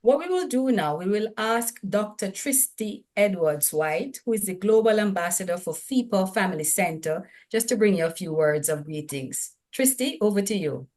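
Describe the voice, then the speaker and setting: A woman's voice: medium pitch, expressive, moderate pace, hardly any pauses, darkish tone. A woman with a Jamaican accent hosting an online seminar, speaking to her audience in an upbeat, emphatic way. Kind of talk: live event hosting.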